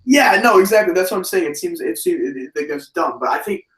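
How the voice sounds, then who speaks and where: A man with a hoarse voice hosting a casual wrestling review, talking in an animated, rambling way with many fillers and restarts. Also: sits high, fast talker, echoey room, far from the mic. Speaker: wrestling podcast host.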